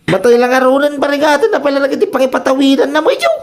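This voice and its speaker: Guy acting scheming excitable husband, ilocano radio comedy, voice swings up and down a lot. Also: very high voice, quick pace. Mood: defensive, scheming. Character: excitable husband scheming to father a son for inheritance.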